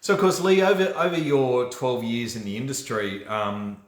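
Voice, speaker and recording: Male livestream host, Australian accent, average pitch, casual self-help coaching chat, echoey room. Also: moderate pace. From Australia, born in New Zealand. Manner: chatty, checks in with "right?". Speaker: host of a personal development livestream. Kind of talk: casual motivational talk. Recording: roomy, echoey room sound.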